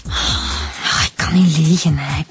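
An actress playing an eager, persistent police inspector in a radio drama, speaking informally with a Flemish accent. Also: female voice, low voice, fairly even intonation, slow, faint music behind.

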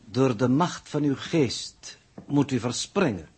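A man playing a calm, pedantic scientific coordinator who lectures formally and condescendingly in a 1960s radio drama. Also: medium pitch, slow pace, husky, exaggerated voice. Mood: lecturing. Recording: muffled-edged vintage 1960s radio recording.

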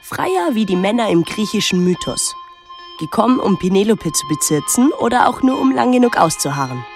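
Casting: pensive, detached teenage girl, introspective radio drama narrator, measured delivery, music underneath. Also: mid-range voice, very animated, vocal fry. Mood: detached, wry. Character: pensive, detached teenage daughter of a reclusive painter. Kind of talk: literary first-person radio drama narration.

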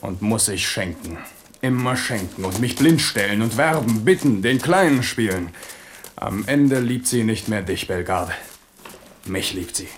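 A man's voice: lowish voice, animated, unhurried. An actor in a German radio drama playing an aging, battle-hardened king who is stubborn and determined in his courting. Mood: brooding, determined. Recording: older studio radio recording with hiss.

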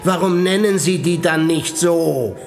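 Radio play aristocrat: jaded, sardonic prince, slightly high voice, dismissive, music playing behind. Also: male voice, measured pace, vocal fry. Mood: sceptical needling. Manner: dismissive, dry, mocking. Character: bored, haughty German prince, sardonic and hard to impress. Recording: studio drama with music behind.

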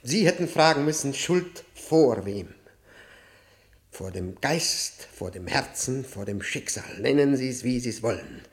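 Man acting guilt-racked ex-convict, brooding, halting, emphatic, theatrical radio-play confession, medium pitch. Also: animated, natural voice. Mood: bitter, fervent. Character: tormented, guilt-ridden former convict confessing to a prosecutor.

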